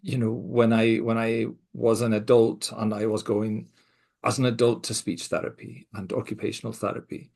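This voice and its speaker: Middle-aged male co-host, mixed Scottish-American accent, raspy gravelly voice, reflective and hesitant. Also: on the low side, level tone. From Scotland. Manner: reflective, speaks in halting restarts with soft laughs. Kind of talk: casual podcast conversation.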